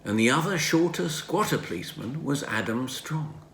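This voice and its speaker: A man's voice: mid-range voice, animated, moderate pace, creaky, fairly bright tone. A man voicing a keen-eyed, eager girl sleuth in a children's storybook. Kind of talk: children's storybook character reading. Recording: roomy home recording, faint noise.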